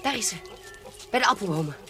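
A woman's voice: mid-range voice, expressive, quick pace, sharp tone, soft background music. An actress playing a determined, shrewd woman with a commanding manner in a period audio drama. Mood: affectionate, decisive. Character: determined, shrewd woman posing as a baroness, protective aunt.

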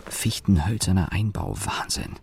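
An actor playing an employee's sardonic inner voice, narrating a radio play in dry, clipped observations. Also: male voice, low voice, moderate pace, gravelly, a little mellow, a little hiss or hum. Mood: dry, observant. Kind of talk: radio play narration, present-tense monologue.

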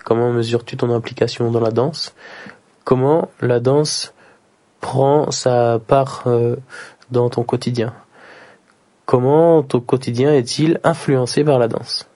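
A man giving measured, scripted narration and asking questions as an interviewer. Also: medium pitch, fairly even intonation, dark tone. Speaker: narrator and interviewer in a dance radio piece.

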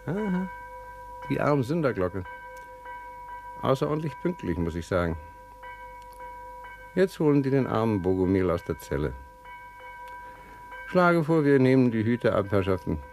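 A jovial upper-class lord who slaps backs and hands out congratulations. His voice is lively and chummy in pitch. Faint music lies under the radio play. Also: moderate pace, pauses often. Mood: hearty. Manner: hearty, chummy, officious. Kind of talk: radio drama acting.

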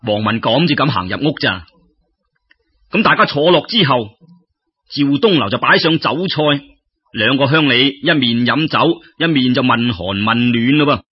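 A man telling folk tales as an expressive storyteller, in a conversational way that addresses listeners directly. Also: medium pitch, moderate pace, husky, lo-fi sound.

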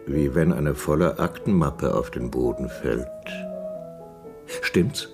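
A man's voice: very deep voice, takes it slowly, music in the background, full character voice. An actor playing a self-assured, unsentimental male company director with a brusque, worldly manner, in a radio drama. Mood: breezy, self-satisfied. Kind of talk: 1980s German radio drama acting.

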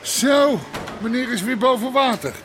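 An actor playing a bullying, foul-mouthed red-light crime boss in a radio drama, gruff and sarcastic. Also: male voice, very high-pitched, measured pace, slight background noise. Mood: sarcastic, irritated.

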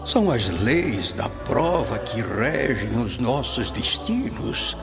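An actor playing a tender, solemn old grandfather's spirit in a Brazilian radio drama, preaching consolation in measured phrases. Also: medium pitch, big pitch swings. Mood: solemn, grave. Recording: dull lo-fi audio under music. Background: music.

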